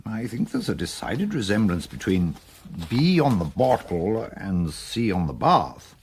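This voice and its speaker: An actor playing a level-headed, sceptical detective with an English accent, reasoning in a measured way in a British radio drama. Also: male voice, fairly low pitch, sing-song, a little mellow, a little hiss or hum.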